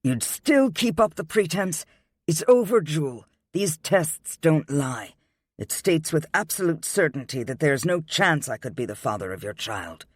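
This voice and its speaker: A man's voice: a little high, very animated, a little slow, dry recording, clean studio sound, pitched above the performer's own voice. A man voicing a cold, furious husband who sneers with icy contempt. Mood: icy sneering.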